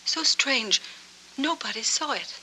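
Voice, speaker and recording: An actress playing a lonely, wistful woman with a hazy memory in a 1940s film noir, speaking in halting, dreamy, hushed phrases. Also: sits high, lively intonation, slight background noise, exaggerated voice, poor audio. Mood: wistful, distant. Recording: old 1940s film soundtrack, music behind.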